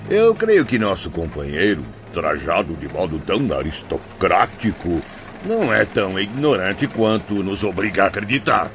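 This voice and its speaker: A deep-voiced, wary traveler. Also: male voice, big pitch swings, normal speed. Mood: suspicious. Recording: dull lo-fi audio with noise.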